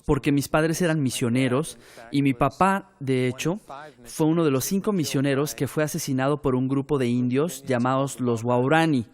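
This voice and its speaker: A man's voice: mid-range voice, normal speed. A man who grew up in Ecuador as a missionary's son, telling his own story earnestly and turning it into a lesson of faith. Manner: earnest storytelling with preaching turns. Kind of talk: documentary interview testimony.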